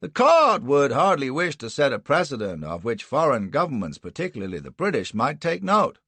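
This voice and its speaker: An indignant defence lawyer in an audiobook, acted by a man. He is pompous and forceful, with formal, blustering delivery. The voice is pushed high and swings widely, with a rough edge. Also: very animated, normal speed, dry recording, full character voice. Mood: insistent, formal. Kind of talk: audiobook character acting.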